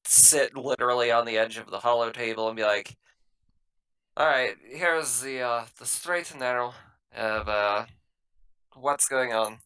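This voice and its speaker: A man playing a tabletop roleplaying game, explaining things in a halting, matter-of-fact way as he improvises in character. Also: mid-range voice, sharp tone, smooth, poor audio.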